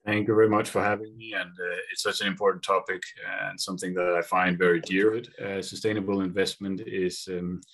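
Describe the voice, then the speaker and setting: A man from Denmark and Sweden with a Scandinavian accent, giving a conference presentation and pausing often on fillers and repeated words. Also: low voice, flat delivery, a bit bright. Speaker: conference speaker. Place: video link from Colombia.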